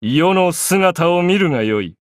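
A man's voice: high-pitched, animated, a little slow, no echo, clean studio sound. An actor playing a proud, imperious young pharaoh and godlike sun king in an anime game, speaking in grandiose, archaic phrases. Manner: pompous, grandiose, archaic speech.

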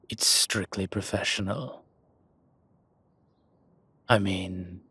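A very husky, low-pitched dragon crime lord played by a man in an intimate roleplay audio. He speaks slowly and deliberately, commanding yet teasing and protective, with breath in the words. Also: a bit bright, no echo, exaggerated voice. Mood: teasing, amused.

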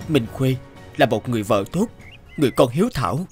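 Guy voicing young grieving husband in a cartoon, earnest, lively pitch, music behind. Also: fast, close to an everyday voice. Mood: sorrowful. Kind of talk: Vietnamese cartoon dubbing.

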